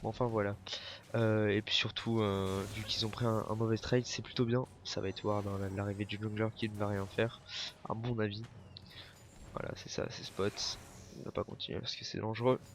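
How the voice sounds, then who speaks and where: A man's voice: fairly low pitch, level tone, normal speed, slight background noise. A young man with a cold, commentating a video game match alone, hesitant, with many fillers and self-corrections. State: has a cold, coughing. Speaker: esports caster.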